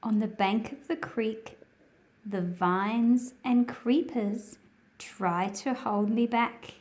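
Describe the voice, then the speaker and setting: A woman hosting a children's read-aloud, speaking in simple, deliberate phrases as if straight to young listeners. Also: a little high, a little mellow. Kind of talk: slow picture-book read-aloud with friendly asides to young viewers.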